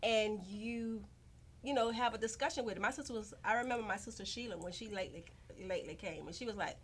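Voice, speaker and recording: A woman's voice: mid-range voice, fast. A woman hosting a talk show in a casual, informal and folksy way, addressing people effusively. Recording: noticeable background noise.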